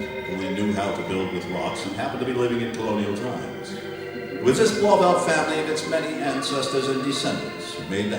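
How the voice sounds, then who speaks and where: A man announcing an old-time radio serial in a mock-grand, tongue-in-cheek scripted style. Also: medium pitch. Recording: echoey hall, distant mic, loud music.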